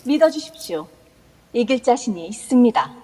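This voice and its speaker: A woman's voice: on the high side, sing-song, normal speed, dark tone, breathy edge. A woman voicing a vengeful young female ghost who threatens and commands in an amateur audio drama. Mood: devoted. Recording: long echoey reverb.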